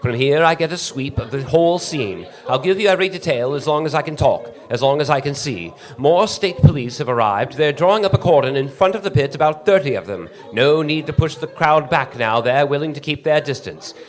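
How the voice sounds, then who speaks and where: Guy acting as frantic old-time radio reporter on the scene, high pitched, breathless, urgent eyewitness stuff. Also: animated, brisk, hardly any pauses, close to an everyday voice. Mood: tense, urgent. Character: frantic male radio field reporter, awestruck then terrified. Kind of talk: 1930s radio drama reporting.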